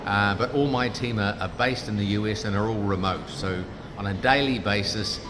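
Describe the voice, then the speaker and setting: A middle-aged man with a New Zealand accent, a business founder making an upbeat, punchy pitch in a casual interview. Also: moderate pace, noisy background. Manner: upbeat, punchy salesman delivery. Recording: background music, trade fair.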